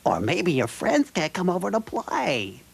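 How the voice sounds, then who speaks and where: A woman's voice: fairly low pitch, wildly swooping pitch, unhurried, vocal fry, slightly rough. A woman presenting a children's TV show, speaking to young viewers. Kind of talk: addressing children on TV.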